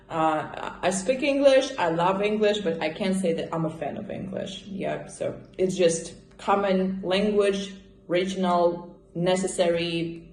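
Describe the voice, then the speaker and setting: A woman's voice: on the low side, darkish tone, a little hiss or hum. A woman from Russia, a native Russian speaker with a Russian accent, chatting casually and expressively as she answers viewers' questions in a vlog. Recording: roomy indoor echo.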